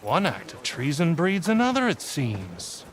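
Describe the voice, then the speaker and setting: An actor playing a stern, menacing compliance officer in a video game, pompous and commanding. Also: male voice, on the high side, wildly swooping pitch, measured pace. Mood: stern, contemptuous. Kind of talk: video game villain acting.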